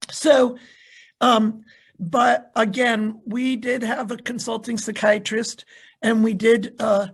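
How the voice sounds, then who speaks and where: Hoarse, very husky moderator on a call. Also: medium pitch, steady tone. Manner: clears throat often, halting. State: hoarse, losing voice. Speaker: panelist and moderator. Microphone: video call.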